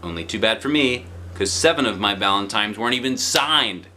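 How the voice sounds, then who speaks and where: A man reading a children's storybook aloud in an expressive, theatrical narrating style. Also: on the low side, a little fast, slight background noise. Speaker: storybook reader.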